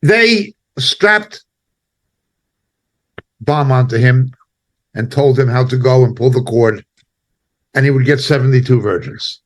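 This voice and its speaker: A man giving impassioned spoken commentary as a preacher, indignant and emphatic, speaking in short halting phrases. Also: mid-range voice, poor audio.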